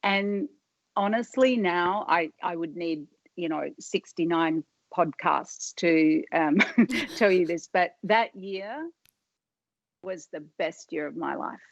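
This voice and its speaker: A woman's voice: lowish voice, slow, no echo. Rambling middle-aged woman, muffled and dry. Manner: rambling, self-interrupting, many fillers. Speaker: podcast guest. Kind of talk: casual interview storytelling.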